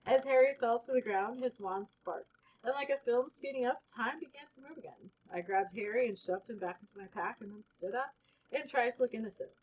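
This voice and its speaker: A woman reading a children's storybook aloud in an expressive, animated way, with casual asides. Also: on the high side, a little fast, dull audio, slight background noise. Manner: animated, acts out exclamations and characters' lines. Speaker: storybook reader.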